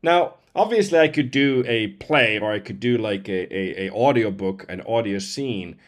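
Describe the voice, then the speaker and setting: Male voice-over artist, forties, somewhat low and a little hoarse, chatting informally. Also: lively intonation, moderate pace. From Finland. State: slightly hoarse. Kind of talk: casual demo talk to camera. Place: indoors at home computer, seen wearing headset.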